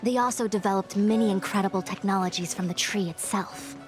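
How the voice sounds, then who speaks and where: A woman playing a composed, self-assured young diviner and military strategist in a video game, speaking formally and with measured authority. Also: mid-range voice, close to an everyday voice. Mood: grave, expository. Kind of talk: scripted video game voice acting. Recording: loud music under the voice.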